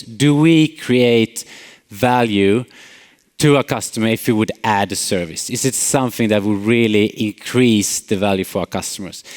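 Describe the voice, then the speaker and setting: A man's voice: fairly low pitch, a little mellow, big-room sound. A man from Sweden with a Swedish accent, giving a friendly conference keynote as a company CEO, with many filler uhs and light jokes. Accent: Swedish, Småland. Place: large conference hall.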